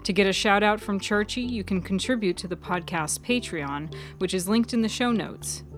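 A woman's voice: mid-range voice, normal speed. A woman reading a scripted fiction podcast as its narrator and host, with music behind her. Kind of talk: scripted storytelling narration and read credits.

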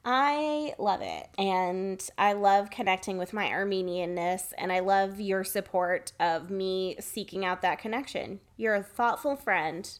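Woman doing casual podcast chat, informal n playful, kinda effusive, medium pitched voice. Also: measured pace, few pauses. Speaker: podcast co-host.

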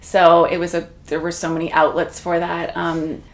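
A woman's voice: on the low side, level tone, moderate pace. A woman from Canada, a guest in an interview, talking in a reflective, chatty way with many fillers. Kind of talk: conversational interview. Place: room in Toronto.

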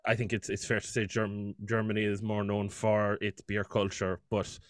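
A young man co-hosts a wine chat, joking and tripping over his words as he laughs. His voice is low and sounds dry and close to the mic. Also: monotone, normal speed, no room sound. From Ireland. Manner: joking, stumbling restarts, laughs. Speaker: podcast co-host.

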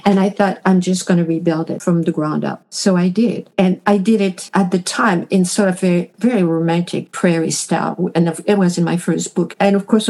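Mellow, low-voiced woman author. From France. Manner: relaxed, enthusiastic, loose rambling sentences. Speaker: author and stylist. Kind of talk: storytelling interview answers.

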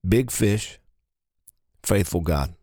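A man speaking as a pastor in a casual podcast chat, with a deadpan, joking manner. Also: lowish voice, slow pace, no echo, clean studio sound.

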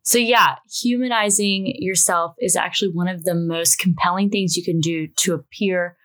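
A young woman with a raspy voice co-hosting a podcast, upbeat and leading the talk. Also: lowish voice, steady tone, moderate pace, fairly bright tone. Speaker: podcast co-host. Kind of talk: casual chat.

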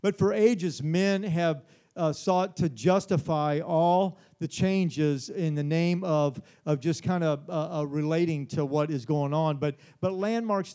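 High-voiced preaching pastor in his forties. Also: mellow. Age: 40s. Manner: earnest, repeats words, frequent "Amen?". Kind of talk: Bible study sermon.

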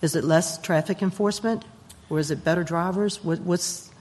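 A woman's voice: lowish voice, normal speed, darkish tone. Council member, woman, plain and direct, long room reverb. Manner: direct, conversational questions. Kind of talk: questioning at a public meeting.

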